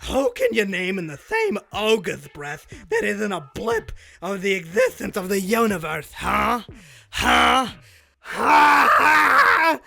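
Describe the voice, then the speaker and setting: Gravelly, grouchy washed-up cartoon guide. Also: male voice, high voice, wildly swooping pitch, slow, sharp tone, faint music behind, full character voice. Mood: bitter rant. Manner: foul-tempered, whiny, dramatic griping. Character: bitter, washed-up male fairyland guide, grouchy and self-pitying. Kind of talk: comic dub voice acting.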